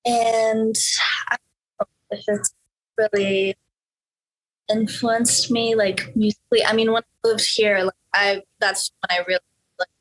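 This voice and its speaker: A woman's voice: medium pitch, level tone, measured pace, pauses often, low-quality recording. Hesitant teenage girl from Norway. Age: teens. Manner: hesitant, many fillers and restarts. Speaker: interview guest, young musician. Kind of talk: casual interview answers.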